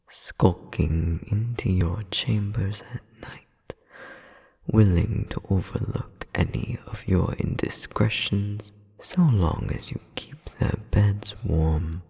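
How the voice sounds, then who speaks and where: A man's voice: deep voice, darkish tone, full character voice. A man playing a cold, domineering demon nobleman scolding as an older brother, with haughty, formal menace in an ASMR audio roleplay. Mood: scornful. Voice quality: breathy, gravelly half-whisper. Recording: long cavernous reverb.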